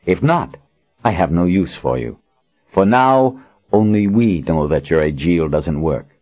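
A man's voice: sits low, expressive, a little slow. A man doing a cruel, arrogant usurper lord. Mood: menacing. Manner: cold, commanding, menacing. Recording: dull lo-fi audiobook recording.

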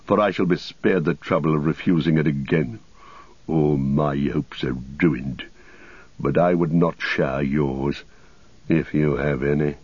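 Guy doing sneering old fallen wizard for an audiobook, cracked deep voice, kinda muffled and echoey. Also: fairly even intonation, darkish tone, heavily acted. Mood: bitter, proud. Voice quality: cracked, husky. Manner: mocking, drawn-out archaic phrasing.

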